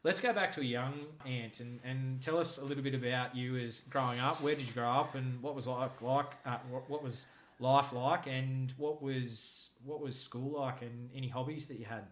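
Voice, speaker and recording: A man's voice: mid-range voice, fairly even intonation, moderate pace. An Australian man hosting a podcast interview, stumbling over repeated words as he asks questions. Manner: stammering restarts when asking questions. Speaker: podcast host. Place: guest's home.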